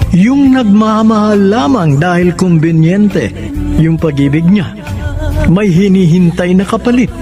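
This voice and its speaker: A man with a Filipino accent hosting a radio drama with a formal, sentimental scripted introduction and theatrical, drawn-out phrasing. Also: high-pitched. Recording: music under the voice.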